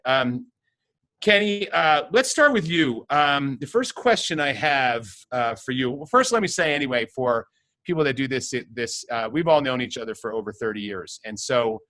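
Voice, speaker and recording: Halting middle-aged male host. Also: mid-range voice, sharp tone, no echo. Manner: hesitant, many restarts and fillers. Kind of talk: casual conversation with old friends.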